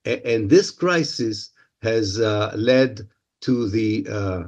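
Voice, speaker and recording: A man's voice: fairly low pitch, takes it slowly, darkish tone. A man whose first language is Hebrew, from Israel, answering interview questions with many filler sounds and restarts.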